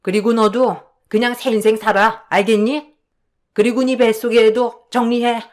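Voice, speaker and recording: A woman voicing a snobbish older mother-in-law who talks in a sharp, scolding, dismissive way. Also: on the high side, fairly even intonation, normal speed, lightly acted. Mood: cold. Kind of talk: dramatized storytelling.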